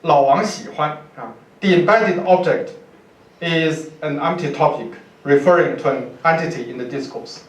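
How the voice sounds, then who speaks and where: A man with a Chinese accent giving an academic lecture from notes in a halting, deliberate manner. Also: on the high side. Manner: halting, deliberate, with repeated words. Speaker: university professor giving a lecture. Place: indoor university lecture room, speaker at a podium. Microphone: far camera microphone. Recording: roomy, distant lecture hall pickup.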